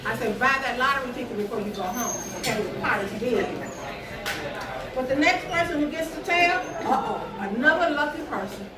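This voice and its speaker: High-voiced woman emceeing a live crowd. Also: normal speed. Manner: chatty, teasing, playful. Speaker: emcee of a storytelling event. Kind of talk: joking live emcee patter. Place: bar venue with a live audience. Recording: roomy echo from a distant mic with loud crowd.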